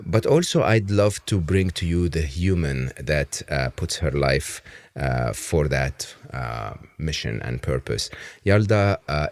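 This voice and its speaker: Super deep-voiced guy hosting a podcast, lots of uhs and restarts. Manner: halting, many fillers and restarts. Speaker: podcast host. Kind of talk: casual interview.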